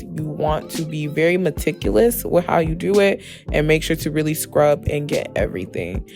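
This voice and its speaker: Female tutorial host, young adult, slightly hoarse with some fry, chatty and emphatic, mid-pitched, music underneath. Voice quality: slightly raspy. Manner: chatty, emphatic, addresses viewers familiarly. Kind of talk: casual voice-over tutorial. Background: background music with guitar.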